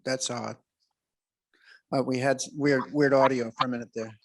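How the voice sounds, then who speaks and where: A man's voice: medium pitch, fairly even intonation, moderate pace. Hesitant man hosting a film Q&A. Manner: hesitant, many ums and restarts. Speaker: festival interviewer. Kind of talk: festival Q&A interview.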